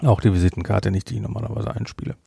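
Man with a gravelly, mellow voice. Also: fairly low pitch, steady tone, racing, dry recording. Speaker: podcast host. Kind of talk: casual review chat.

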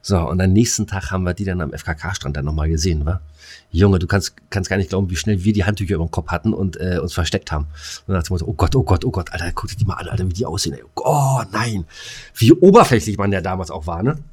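Man, middle-aged, low voice, casual podcast storytelling, coarse slang, exasperated delivery. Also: fast talker, a little mellow, husky. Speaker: podcast co-host.

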